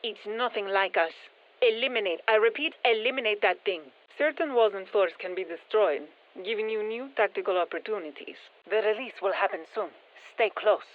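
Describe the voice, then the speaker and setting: An actress playing a brisk, focused female military scientist giving clipped mission instructions over a radio in a video game. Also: medium pitch. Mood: brisk, instructive, then urgent. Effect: radio filter. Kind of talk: scripted game mission briefing. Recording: tinny band-limited radio transmission.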